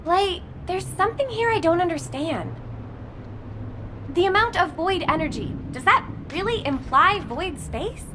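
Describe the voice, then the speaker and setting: Very high, bubbly young fighter girl. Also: animated, a little slow, background noise. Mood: curious. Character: eager, bubbly young female fighter, caring sidekick. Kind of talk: video game voice acting. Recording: music behind.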